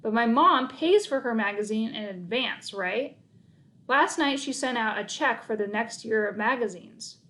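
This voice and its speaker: Casting: woman reader plays storybook boy, confident math-whiz schemer, high voice, matter-of-fact and emphatic. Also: expressive, unhurried, a bit bright. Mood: explaining.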